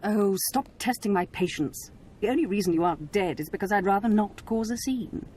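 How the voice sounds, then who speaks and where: A woman's voice: mid-range voice, brisk, a little mellow, slight background noise, natural voice. An actress playing a scheming, possessive noble lady in a video game cutscene, talking in a cold, condescending way.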